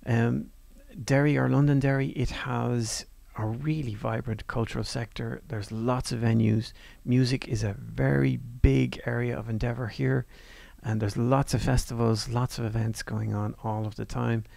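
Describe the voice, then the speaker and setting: A male lecturer talks through his slides in an easy, conversational way, pausing on fillers and restarting sentences. His voice is heavily gravelly with a lot of fry. It was recorded very dry and close, with no room sound. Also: mid-range voice, flat delivery, warm tone, no echo. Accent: non-Northern Irish. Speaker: university course lecturer. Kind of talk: presenting over slides.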